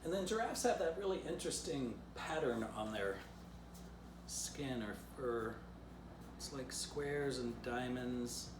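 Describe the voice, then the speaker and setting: A man's voice: mid-range voice, animated, slow. A man presenting to children in a simple, informal way, as an author reading and teaching. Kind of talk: children's story reading and casual drawing lesson. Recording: roomy echo, clear background noise.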